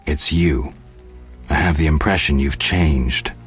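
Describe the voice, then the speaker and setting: A man voicing a stoic, gruff monster hunter in a video game, terse, deadpan and sardonic. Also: very deep voice, measured pace, soft background music, exaggerated voice. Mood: skeptical.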